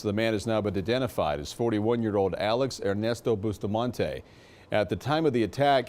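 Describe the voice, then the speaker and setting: A man's voice: on the low side, darkish tone. A man anchoring a television newscast, reading scripted news copy.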